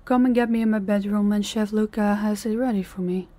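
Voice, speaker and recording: A woman playing a stern, condescending female mafia boss in an intimate audio roleplay, giving measured orders directly to the listener. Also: mid-range voice, fairly even intonation, mellow, no echo, close to an everyday voice. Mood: stern, commanding.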